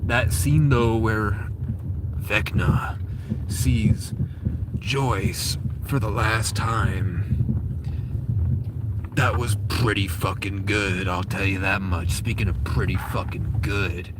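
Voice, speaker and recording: A man's voice: lowish voice, fairly even intonation, slow. A man in his thirties vlogging in a rambling, jokey way, slipping into silly voices. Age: 30s. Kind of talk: rambling comedic vlog. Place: laundromat, then his car. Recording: loud background noise.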